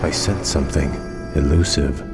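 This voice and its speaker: Elven prince, low voice, proud, solemn, formal, fantasy game drama, music behind. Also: male voice, steady tone, normal speed, vocal fry. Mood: composed, formal. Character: proud, desperate elf prince, eloquent and burdened. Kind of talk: dramatic video game acting.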